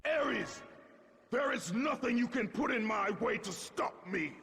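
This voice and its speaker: Desperate raging warrior dad from a game, gravelly yelling, pitched way up. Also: male voice, lively intonation, measured pace, heavily acted. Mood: defiant. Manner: roaring, strained. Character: desperate, enraged warrior father defending his family. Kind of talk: dramatic video game acting.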